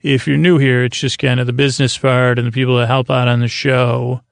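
Creaky, meandering man hosting a bedtime podcast. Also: mid-range voice, flat delivery, no echo. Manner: meandering, long-winded, halting; gentle, friendly, lulling. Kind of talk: rambling soothing bedtime monologue.

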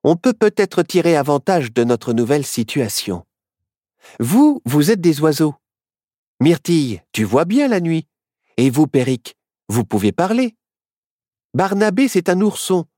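A man's voice: a little high, very animated, unhurried, long pauses, mellow, no room sound, clean studio sound, pitched above the performer's own voice. A man voicing a boy turned fox, an earnest, plaintive young leader in a children's story. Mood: thoughtful.

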